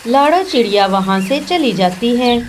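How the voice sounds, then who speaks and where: Higher-pitched woman narrating a children's story. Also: lively intonation, moderate pace, a little hiss or hum.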